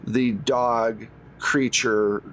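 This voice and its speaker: Man, game master, medium pitch, conversational improvised narration, rules talk, noisy background. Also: steady tone, extremely slow, a bit bright. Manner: conversational, narrates in second person. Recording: noisy recording with clear background noise.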